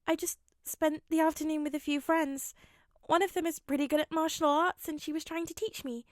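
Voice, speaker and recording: A woman's voice: high voice, fairly even intonation, brisk, no echo, clean studio sound, pitched above the performer's own voice, close to an everyday voice. A woman voicing an earnest, eager teenage boy with a hesitant, rambling manner in a story reading. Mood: sheepish.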